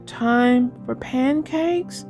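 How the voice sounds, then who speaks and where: A woman's voice: high-pitched, extremely slow, a little mellow, faint music behind, voice pushed up, exaggerated voice. A woman voicing a sleepy, weary young schoolboy in a children's storybook, talking in a tired, matter-of-fact way. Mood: drowsy.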